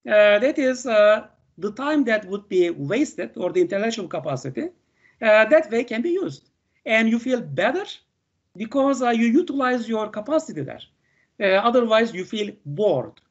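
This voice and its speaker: Older Turkish professor, non-native English, lecturing over a video call, halting delivery full of fillers, dull lo-fi sound. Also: male voice, high-pitched, sing-song, husky. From Turkey. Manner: halting, many "uh" fillers and "okay" checks. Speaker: university professor. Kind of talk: online lecture.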